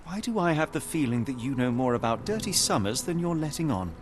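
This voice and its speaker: An actor playing a shrewd, self-assured British gentleman detective in a video game, speaking formally and probingly. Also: medium pitch, very animated, fairly quick, a little hiss or hum, natural voice. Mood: pressing, stern. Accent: British English. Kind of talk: video game cutscene acting. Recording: music behind the voice.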